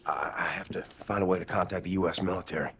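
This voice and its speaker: An actor playing a war-weary, stubborn American army ranger in a video game, gruff and terse. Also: male voice, deep voice, fairly even intonation, fast, fairly bright tone, heavily acted. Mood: urgent, defiant. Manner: terse, brooding. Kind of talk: cinematic video game acting.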